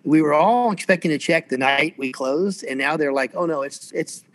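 A man with a squeaky voice, a freelance percussionist chatting casually and rambling in an interview. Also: normal speed. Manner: rambling, self-deprecating, chuckles often.

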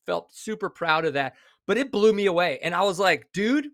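A man in his forties, a co-host talking excitedly and emphatically in a casual podcast chat. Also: high voice, moderate pace, dry recording, studio quality. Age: 40s.

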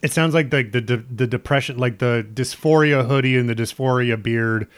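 A man's voice: medium pitch, a little fast, clean tone. A man hosting a podcast, making short supportive replies and summing up what his guest says. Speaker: podcast host. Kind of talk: casual interview chat.

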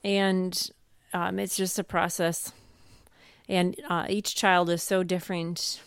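A middle-aged woman with a fairly low, smooth voice talks casually, as a parent sharing advice. She sounds earnest and encouraging, and the recording is close and dry. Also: little pitch movement, takes it slowly, no room sound. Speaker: homeschooling parent giving advice. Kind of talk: casual conversational advice talk.

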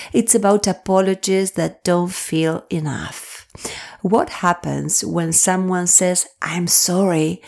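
A woman hosting a wellness podcast, slightly hoarse, talking directly to listeners in a deliberate, carefully enunciated way. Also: on the low side, a little mellow. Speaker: podcast host, wellness coach. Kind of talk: podcast talk addressed to listeners, part scripted.

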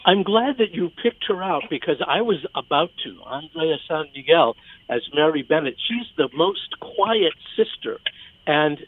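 A man reviewing theater conversationally on the radio, articulate and measured, as a critic. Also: a little high, animated, dry recording. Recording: thin phone-like sound.

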